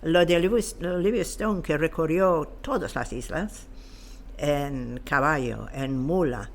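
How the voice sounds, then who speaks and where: A woman speaks slowly in speech, pausing to search for her words. She sounds like a knowledgeable guest explaining local history off the cuff, in a halting, unscripted way. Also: deep voice.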